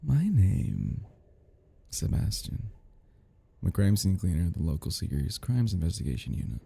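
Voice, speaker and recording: A man playing an obsessed, giddy and menacing crime scene cleaner in an intimate audio roleplay, rambling in a husky voice that drops into whispers. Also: low voice, sing-song, normal speed, dark tone, heavily acted. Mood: giddy, chatty. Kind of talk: intimate ASMR-style dramatic roleplay.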